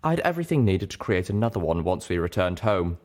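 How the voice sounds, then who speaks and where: A man narrating an audiobook as an earnest, self-doubting young mare, in a measured, deliberate way. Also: sits low, big pitch swings, darkish tone. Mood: reflective. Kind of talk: scripted first-person audiobook narration.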